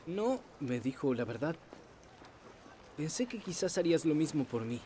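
A man's voice: on the high side, very animated, moderate pace, warm tone, a little hiss or hum. An actor dubbing an awkward teenage boy who pleads and stammers nervously. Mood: pleading. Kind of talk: Spanish film dub.

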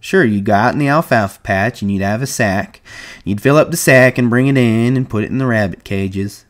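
A man's voice: lowish voice, brisk, close to an everyday voice. A man voicing a shrewd, folksy ranch hand with plain rustic American speech in an audiobook story. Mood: warm, dreamy.

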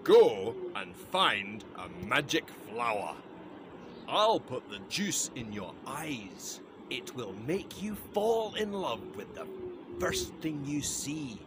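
A man playing a bossy, commanding fairy king in a cartoon, with deliberate, dramatic delivery. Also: medium pitch, sing-song, background noise. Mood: commanding, scheming. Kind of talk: simple cartoon dialogue for learners. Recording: music under the voice.

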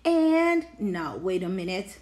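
A woman's voice: medium pitch, big pitch swings, slow pace, darkish tone. A woman voicing an exasperated, whining male creature in a storybook, protesting and pleading. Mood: exasperated, indignant.

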